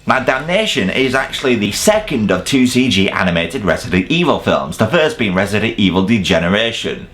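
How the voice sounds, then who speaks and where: A man's voice: on the low side, very animated, a little fast, hardly any pauses, fairly bright tone. A man hosting a countdown review, delivering semi-scripted commentary in a jokey, casually sweary way. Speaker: video reviewer and host.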